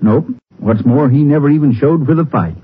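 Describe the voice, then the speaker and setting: An actor playing an earnest small-town Texas sheriff with a folksy drawl in an old radio drama. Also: male voice, medium pitch, normal speed, breathy edge, close to an everyday voice. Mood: earnest, concerned. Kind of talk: 1950s radio drama acting. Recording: muffled, lightly noisy old radio recording.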